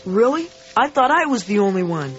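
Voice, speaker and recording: A woman's voice: medium pitch, big pitch swings, fairly quick, faint music behind, lightly acted. A woman voicing an awkward, self-conscious schoolboy who stammers, in a radio drama. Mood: earnest. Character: nervous, awkward popular schoolboy. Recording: slightly dull radio drama recording.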